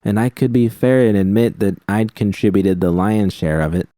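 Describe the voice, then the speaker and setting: A man with a very deep, dark voice reads first-person fan fiction in a measured, steady way. The recording is dry and close, with no room sound. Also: dry recording. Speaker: reader.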